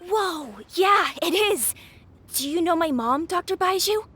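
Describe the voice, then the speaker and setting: A woman's voice: extremely high pitch, lively intonation, a little slow, fairly bright tone, soft background music, heavily acted. A woman voicing a worried young village boy who speaks earnestly and politely in a video game. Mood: anxious.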